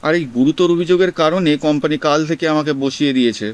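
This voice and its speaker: Male actor as young delivery rider, twenties, smooth clear voice, polite, pleading, earnest, audio drama. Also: on the high side, very fast, lightly acted. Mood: pleading, aggrieved. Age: 20s.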